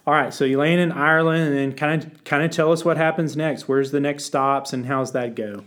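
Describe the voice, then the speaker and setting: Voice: mid-range voice, steady tone, fairly quick, fairly bright tone. An interviewer asking a guest short, easygoing questions. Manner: brief, easygoing prompts.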